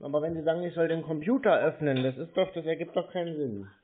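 A man's voice: a little high, fast. A man chatting casually on a recorded speakerphone call, playing naive with an obtuse, rambling, literal-minded manner. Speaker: prank caller on scam call centres. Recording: muffled lo-fi phone recording, faint noise.

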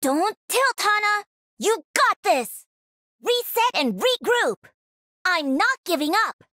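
A young female pro gamer piloting a mech in a video game. Her voice is extremely high and lively, swinging widely in pitch, with a close, dry sound. She comes across as cocky, playful and energetic. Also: slow, no room sound, heavily acted. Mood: urgent, determined. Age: young adult. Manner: sassy, confident, energetic.

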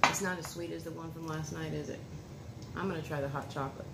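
A woman's voice: low-pitched, steady tone, quick pace. A woman in her thirties casually chatting and tasting food for her vlog, talking to viewers and her son at the table at home. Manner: homey, talks to viewers and son while unwrapping food. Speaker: food reviewer vlogger. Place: home dining room, indoors. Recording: echoey room with clear background noise.

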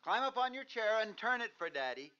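A man's voice: high-pitched, sing-song, a little fast, bright, forceful tone, smooth, exaggerated voice. An actor in a 1950s radio drama playing a strained, impatient father who urgently coaxes his small son. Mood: coaxing. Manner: urgent coaxing, exasperated. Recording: dull, lo-fi 1950s radio broadcast.

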